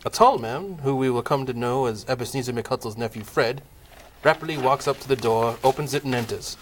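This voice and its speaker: A man's voice: mid-range voice, brisk. Man narrating a comic table read. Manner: wry, laughs at the cast.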